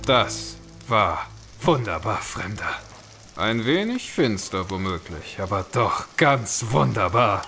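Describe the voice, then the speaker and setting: A man's voice: on the low side, big pitch swings, takes it slowly, bright, forceful tone, soft background music, exaggerated voice. A man acting a genial, generous tavern guest with an effusive, courteous manner in an audio drama. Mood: genial, appreciative.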